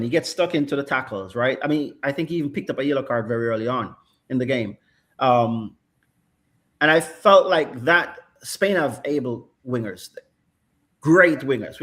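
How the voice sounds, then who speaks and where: A man's voice: mid-range voice, fairly bright tone. A middle-aged man analysing football in casual chat, thoughtful and checking in with "right?" often. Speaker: football pundit.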